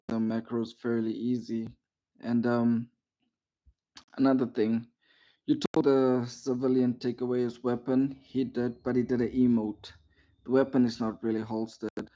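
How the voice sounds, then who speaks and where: Flat-voiced man coaching a trainee. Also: mid-range voice, lo-fi sound. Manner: instructive, formal, ends lines with "sir", many "um"s. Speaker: military unit trainer in a roleplay game. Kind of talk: giving a trainee feedback over game voice chat.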